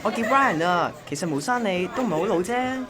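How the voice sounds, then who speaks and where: A woman's voice: mid-range voice, expressive, fairly quick, crowd noise, natural voice. A woman with a Hong Kong Cantonese accent playing an obsessively devoted young male courier in a radio drama. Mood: friendly, solicitous.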